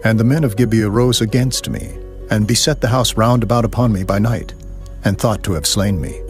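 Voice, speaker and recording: Guy playing a grieving levite husband in a bible drama, lowish solemn declaiming, soft music behind. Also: moderate pace, natural voice. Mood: grave, aggrieved. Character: grieving, aggrieved Levite husband of a slain woman. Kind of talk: dramatized scripture acting.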